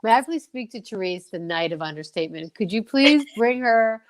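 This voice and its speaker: A woman hosts a casual chat show. She speaks at a middle pitch in an effusive, encouraging way. Her talk is informal and conversational. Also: lively intonation, brisk. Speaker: show host.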